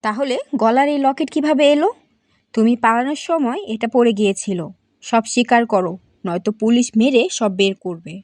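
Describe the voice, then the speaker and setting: A woman's voice: a little high, fairly quick, darkish tone, no room sound, natural voice. A woman playing a young wife in a cartoon who questions and firmly accuses suspects. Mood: accusing. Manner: inquisitive, firm, accusing. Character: young wife turned sharp sleuth.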